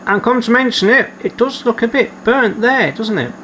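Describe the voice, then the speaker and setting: Bright-voiced man narrating a repair. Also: very high voice, moderate pace, slight background noise. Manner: methodical, reads out measurements as he works. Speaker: repair video host. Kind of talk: calm narrated workshop repair. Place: home workshop.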